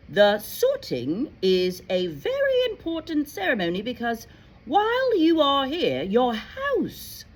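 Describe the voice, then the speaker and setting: A woman voicing a stern, formal senior witch professor in a storybook, with declamatory, deliberate delivery. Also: sits high, voice pushed up, heavily acted. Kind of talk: storybook character voice.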